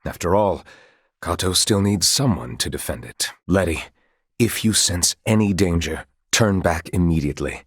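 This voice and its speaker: A man's voice: low voice, expressive, normal speed, breathy edge, dry recording, studio quality. A man playing a composed, proud knight commander of royal blood in a video game, speaking in formal, archaic, courtly phrases. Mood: calm, formal.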